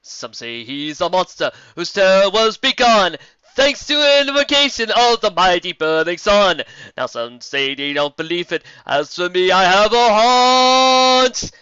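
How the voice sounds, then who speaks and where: Guy doing a high loud zebra mare bard, sings slow rhyming warnings, dull lo-fi sound. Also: smooth, no room sound, exaggerated voice. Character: mysterious female zebra bard singing warnings.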